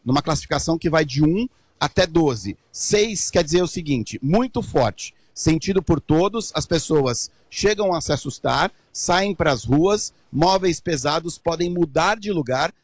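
A man reporting as a foreign correspondent, his voice a touch high and recorded very dry and close. He explains patiently and informally, with small fillers between phrases. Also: lively intonation, measured pace, no room sound. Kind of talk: news report from abroad.

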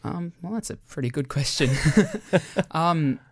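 A man's voice: fairly high pitch, animated, slow pace, creaky. A young man, a student singer, answering interview questions thoughtfully, with hesitant ums.

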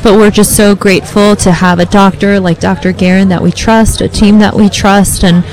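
A woman speaking earnestly as an interview guest, sincere and measured with small pauses. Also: medium pitch, little pitch movement, a little mellow, rough recording. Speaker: interview guest, cancer center operator.

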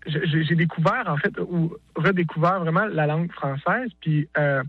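A man's voice: a little high, normal speed, no room sound, phone-quality sound. A male singer-songwriter with a Québécois French accent, talking casually in an interview, hesitant with many restarts and fillers.

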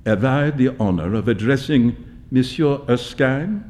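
An elderly French gentleman in a storybook, acted by a man. He is formal and courteous, speaking with a slight French accent and a smile. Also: medium pitch, lively intonation, a little slow, pitched below the performer's own voice, close to an everyday voice. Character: courteous, smiling old French gentleman messenger.

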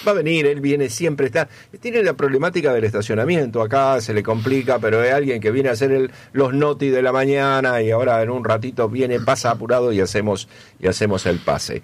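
A man's voice: medium pitch, brisk. A man with an Argentine accent hosting a radio show in casual chat, rambling with lots of fillers and repeated words. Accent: Argentine Spanish. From Buenos Aires, Argentina. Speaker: radio host.

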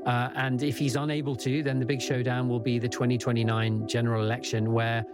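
A man explaining politics on a news podcast in a measured, careful way with some fillers. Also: mid-range voice, flat delivery, over music. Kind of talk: explanatory conversation.

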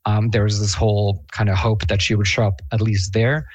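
Chatty low-voiced man, dry sound. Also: level tone, brisk, no echo. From Bosnia and Herzegovina. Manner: enthusiastic, chatty, laughs often, many fillers. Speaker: guest, Eurovision fan. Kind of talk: casual interview chat.

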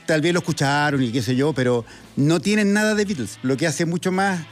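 A Chilean man co-hosting a music show, explaining his opinions casually and in a halting way. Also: on the high side. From Viña del Mar, Chile. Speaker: co-host and music commentator.

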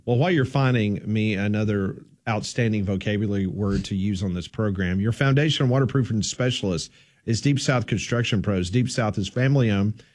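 A man's voice: fairly low pitch, brisk, a little mellow. A man hosting a sports talk radio show, easygoing and folksy, who also reads the sponsor ads himself. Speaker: radio host.

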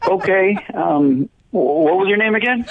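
A man's voice: high-pitched, expressive, normal speed. A man working as a restaurant manager, talking politely and a little hesitantly in a casual phone call. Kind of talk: casual, unscripted phone conversation. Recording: phone call with loud crowd behind. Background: busy restaurant crowd noise.

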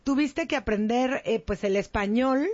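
Effusive high-voiced Mexican woman interviewer. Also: normal speed, a little mellow, highs cut off. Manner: effusive, colloquial, exclaiming. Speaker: interview host. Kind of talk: casual celebrity-style interview chat.

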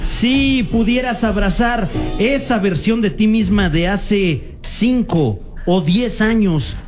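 A woman's voice: mid-range voice, slow, darkish tone, soft background music. A woman radio host asking questions in a playful, chatty way, sometimes hesitating. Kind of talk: radio interview chat.

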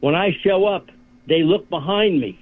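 A man's voice: high-pitched, normal speed. A man in his eighties calling in to a radio talk show, chatty and telling stories with laughs. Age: 80s. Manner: chatty storyteller, laughs at his own tales. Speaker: caller. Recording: phone line.

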